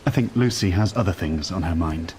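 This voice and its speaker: A man's voice: low-pitched, brisk, a little mellow, natural voice. An actor as a heartbroken father, some background noise. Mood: anguished. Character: heartbroken, doting separated father. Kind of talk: radio drama dialogue.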